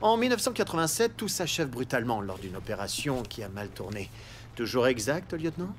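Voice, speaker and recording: Man as smarmy investigator, mid-pitched, very animated intonation, insinuating, hesitant pauses, police audio drama. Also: a little hiss or hum, natural voice. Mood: needling. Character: smarmy, needling male internal affairs investigator.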